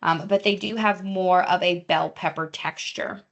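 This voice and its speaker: A woman's voice: lowish voice, steady tone, unhurried, gravelly, fairly bright tone, lo-fi sound. A young adult woman, a dietitian, leading a live online cooking class in a chatty, friendly way with many fillers, recorded over a video call. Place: home or teaching kitchen.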